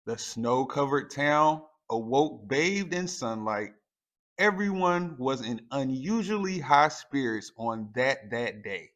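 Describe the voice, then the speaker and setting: A man reading a children's picture book aloud as a storyteller, in a deliberate, expressive way. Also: mid-range voice. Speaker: storytime reader.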